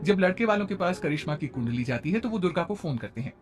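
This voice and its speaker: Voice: medium pitch, lively intonation, quick pace, over music. A narrator reading a scripted family moral story.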